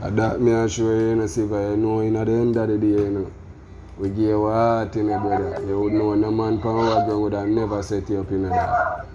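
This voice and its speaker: A man's voice: on the low side, little pitch movement, brisk, background noise. A Jamaican man talking informally and reassuringly on a recorded phone call, often saying "you know". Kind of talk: informal persuasive phone conversation.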